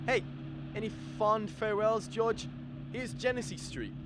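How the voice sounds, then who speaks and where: A teenage student actor playing a chummy, colloquial small-town cab driver in a radio drama. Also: male voice, high-pitched, unhurried. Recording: noisy street ambience with crowd, traffic.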